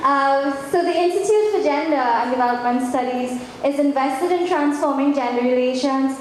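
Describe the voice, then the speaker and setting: A woman with a high voice delivers a welcome address at a public event. The room echoes heavily around her, and a faint layer of noise sits behind the speech. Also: normal speed. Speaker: institute representative giving a welcome speech.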